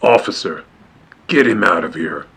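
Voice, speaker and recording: Noir radio cop for a male actor: lowish, husky, curt New York sergeant giving orders. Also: expressive, normal speed, a bit bright, heavily acted. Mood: curt authority. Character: brisk, gruff New York police sergeant. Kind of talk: noir radio play acting.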